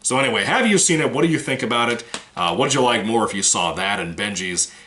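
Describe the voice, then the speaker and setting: A man's voice: on the low side, lively intonation, fast, pushed and bright, a little hiss or hum. A man giving a casual, rambling movie review, frank and swearing now and then, his voice still recovering from a cold.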